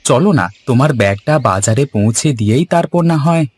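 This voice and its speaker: A man's voice: mid-range voice, expressive, fast, a little mellow, slightly rough. A man voicing a dutiful, earnest young son in a cartoon, speaking politely and eagerly. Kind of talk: Bengali cartoon voice acting.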